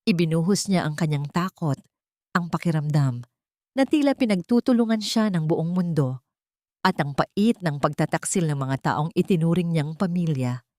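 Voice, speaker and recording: Low-voiced Filipino woman narrating drama. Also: normal speed, warm tone, dry recording, studio quality. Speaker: storyteller. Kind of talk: scripted dramatic story narration.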